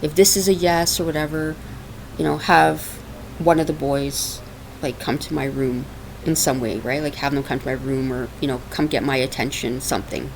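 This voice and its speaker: Woman, low voice, chatty with lots of fillers, raw laptop mic at home, faint hiss. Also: fairly even intonation, normal speed, vocal fry, a little mellow, low-quality recording. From Portugal. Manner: chatty, laughs often, many fillers. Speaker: podcast host and minister. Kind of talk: casual spiritual monologue. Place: dark hot room at home. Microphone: laptop microphone on her lap.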